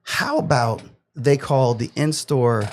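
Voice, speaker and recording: A young man from New York telling a profane, animated story in a casual podcast chat. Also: medium pitch, slow. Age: 20s.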